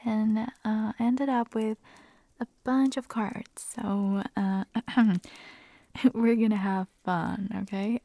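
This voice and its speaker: A woman whispering in an ASMR audio, her voice hoarse and raspy as she nearly loses it, giggling and making chatty, self-deprecating asides. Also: medium pitch, steady tone, slow, warm tone, no room sound. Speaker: ASMR creator.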